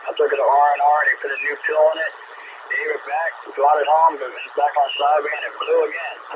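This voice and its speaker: A man's voice: fairly high pitch, level tone, quick pace. A middle-aged man chatting casually over two-way radio in a joking, folksy way. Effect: two-way radio transmission. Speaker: amateur CB radio operator. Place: heard through a CB radio receiver. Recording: noisy, muffled CB radio signal.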